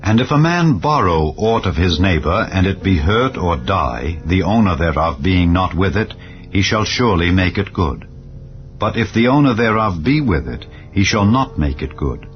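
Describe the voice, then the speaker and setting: A man narrating scripture in a solemn, measured, declarative manner, as in a dramatized Bible reading. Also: sits low, highs cut off. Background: clear background music.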